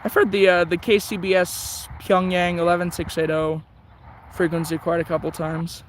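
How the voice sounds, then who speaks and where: A man with a notably high voice gives casual, rambling hobbyist commentary. He thinks out loud in a chatty way as he works a radio dial. There is faint noise behind him. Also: little pitch movement, normal speed. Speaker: shortwave radio hobbyist host.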